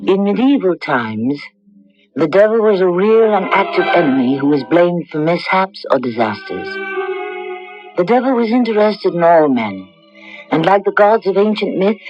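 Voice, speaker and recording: A woman's voice: lowish voice, expressive, normal speed, music in the background. A woman delivering scripted dramatic narration as the host of a radio drama. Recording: dull vintage radio recording.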